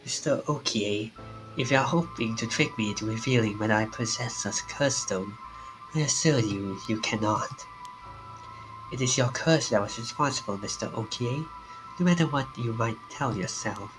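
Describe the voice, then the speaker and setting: A man voicing a formal, politely stern game character in a stiff, measured manner. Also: medium pitch, natural voice, poor audio. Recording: music under the voice.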